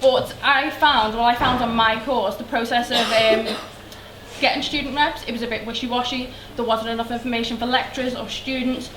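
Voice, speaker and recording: A woman's voice: a little high, fairly even intonation, fairly quick. Earnest Liverpool woman in echoey hall. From Liverpool, England. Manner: earnest, many ums and restarts. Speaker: election candidate. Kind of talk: hustings speech. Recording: echoey hall, faint background noise.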